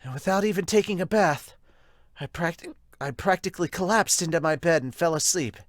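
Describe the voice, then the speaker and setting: A man's voice: a little high, a little fast, no echo, clean studio sound, pitched above the performer's own voice, lightly acted. A man voicing an earnest teenage boy narrating in the first person, in an anime fan dub style.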